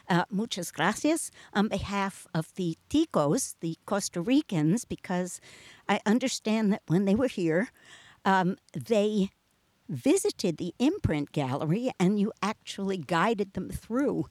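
A woman's voice: medium pitch, expressive, unhurried, darkish tone, dry recording. A woman hosting a casual radio interview, chatty and upbeat. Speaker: radio host.

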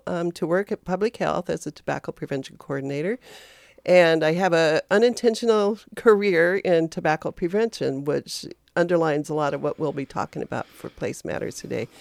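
A woman in her fifties with a low, gravelly voice talks as a guest on a radio talk show. She is chatty and self-deprecating and tends to wander off topic. The recording is close and dry. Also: no echo. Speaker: guest, health promotion specialist.

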